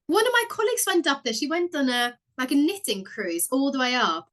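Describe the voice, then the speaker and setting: A woman's voice: sits high, animated, brisk, a bit bright. A woman with a Norwegian accent, speaking as a podcast host. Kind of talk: scripted intro and casual interview chat.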